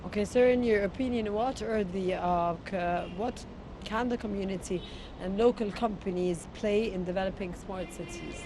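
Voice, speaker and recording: An interviewer asking formal questions in a hesitant, halting way. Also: medium pitch, noisy background.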